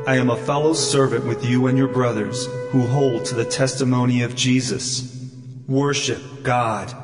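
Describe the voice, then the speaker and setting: A man playing a solemn angel in an audio Bible drama, speaking gravely and with measure. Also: medium pitch, fairly even intonation, moderate pace, natural voice. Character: solemn male angel, humble servant of God. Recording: echoey long reverb, loud music.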